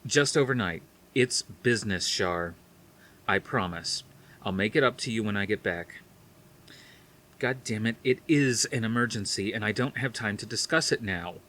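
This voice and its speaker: A man reading an audiobook, voicing a determined, hurried man who speaks forcefully. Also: mid-range voice, a little hiss or hum, close to an everyday voice.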